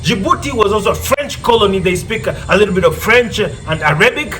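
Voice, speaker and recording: A man performing as a live storyteller and riddle host, theatrical, repeating phrases and pausing for effect. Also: high-pitched, sharp tone, slight background noise. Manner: theatrical, repeats phrases, pauses before answers. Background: music.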